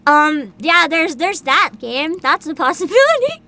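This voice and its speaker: Woman, high pitch, very animated swings, casual livestream chat, excitable, giggly, dramatic emphasis. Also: unhurried, heavy background noise. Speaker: livestream host, VTuber.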